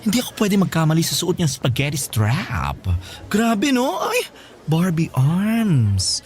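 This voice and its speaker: An actor with a Filipino accent playing a flamboyant, whiny, gossipy best friend in a radio drama. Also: male voice, fairly high pitch, huge pitch swings, takes it slowly, exaggerated voice. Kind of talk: Tagalog radio drama acting.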